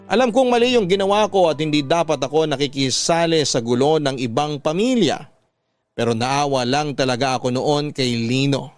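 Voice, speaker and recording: Casting: male radio drama narrator, slightly high voice, reflective, measured, close dry sound over music. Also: dry recording. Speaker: storyteller reading a listener's letter. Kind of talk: dramatic scripted narration in classic radio storytelling style.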